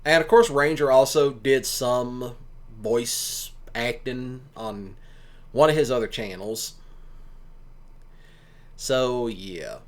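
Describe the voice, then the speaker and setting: A man's voice: mid-range voice, slow pace, pauses often. A man giving casual, rambling commentary in a folksy, colloquial way, like a streamer talking to listeners. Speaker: streamer and ASMR audio creator.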